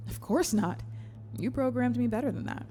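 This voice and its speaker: An actress playing a calm, wise computer-program daughter in an audio drama, serene and gently detached. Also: female voice, fairly high pitch, normal speed, a little hiss or hum, close to an everyday voice. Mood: tender. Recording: long hall reverb with music.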